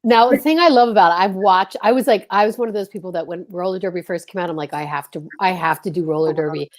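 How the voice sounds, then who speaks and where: A woman's voice: lowish voice, quick pace. A woman co-hosting a show with casual, effusive and teasing banter. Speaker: co-host.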